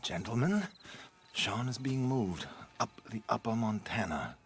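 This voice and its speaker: An actor playing a formal, theatrical gang go-between in a Western video game. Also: male voice, fairly low pitch, sing-song, a little slow, a little hiss or hum. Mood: brisk. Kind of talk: video game cutscene acting.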